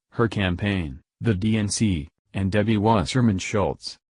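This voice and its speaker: A man's voice: lowish voice, darkish tone, no room sound. A man reading scripted political news as a voice-over narrator.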